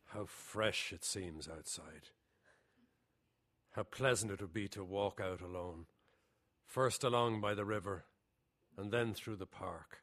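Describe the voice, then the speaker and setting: A reserved, defensive gentleman. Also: sits low, slow pace, pauses often, full character voice. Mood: wistful.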